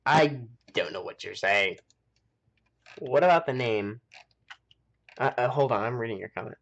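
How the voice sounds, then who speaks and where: A man's voice: medium pitch, animated, a little slow, gravelly, a bit bright, lo-fi sound. An American man hosting a game live stream, chatting casually and rambling as he thinks aloud. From United States. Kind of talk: casual chat while playing a game.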